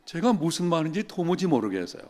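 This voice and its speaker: A middle-aged man preaching a sermon as a pastor, telling stories and slowing down to stress key phrases. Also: a little high, big pitch swings, a little mellow. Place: church sanctuary.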